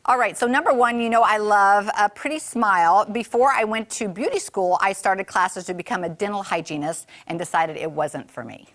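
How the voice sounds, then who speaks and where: A woman's voice: medium pitch, normal speed, few pauses, a bit bright. A woman co-hosting a morning show, chatting casually with an encouraging, enthusiastic manner. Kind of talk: casual chat.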